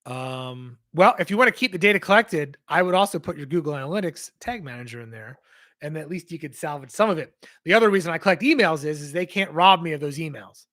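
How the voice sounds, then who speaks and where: A man hosting a casual livestream Q&A, rambling as he answers viewer questions, his voice strained and hoarse from losing it. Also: on the high side, lively intonation, fast, fairly bright tone. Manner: rambling, conversational, reads out and answers chat questions.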